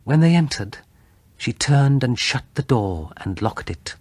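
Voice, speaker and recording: A man narrating a gothic horror story in a breathy, husky voice, with grave, suspenseful, deliberate phrasing. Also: mid-range voice, very animated, a little mellow, no room sound. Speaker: audiobook narrator.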